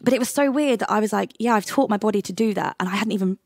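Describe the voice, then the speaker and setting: A woman's voice: medium pitch, racing, gravelly, no room sound, clean studio sound. A woman, a singer, candidly telling a personal story in conversation and acting out the dialogue. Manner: animated, acts out quoted dialogue. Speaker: podcast guest, singer.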